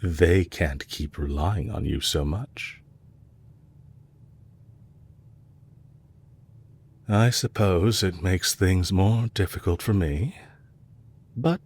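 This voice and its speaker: A man playing a composed, courteous villain leader in an audio roleplay, speaking in a measured, formal way to a captive he is fond of. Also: low voice, slightly rough, heavily acted. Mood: calm, assured.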